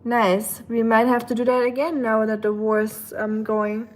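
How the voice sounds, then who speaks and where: A woman's voice: on the high side, flat delivery, unhurried. A woman with a German accent chatting casually to livestream viewers, thinking aloud in a rambling way with dry humor. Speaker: livestream host. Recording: clear background ambient noise.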